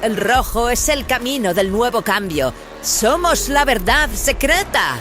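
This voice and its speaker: A fanatical woman rebel leader, acted by a man, commanding and defiant. Her delivery swings widely in pitch as she declaims like a zealot in a science-fiction audio drama, with music underneath. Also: very animated, measured pace, bright, forceful tone, full character voice. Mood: indignant, zealous. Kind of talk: dramatized sci-fi audiobook dialogue.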